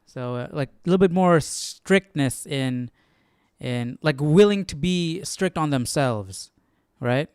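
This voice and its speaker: A man's voice: a little high, expressive, takes it slowly, a little mellow, clear, resonant tone, no room sound, studio quality. A man hosting a casual live Bible study, musing aloud and often checking with a questioning tag. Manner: musing, tags sentences with "right?". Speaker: host.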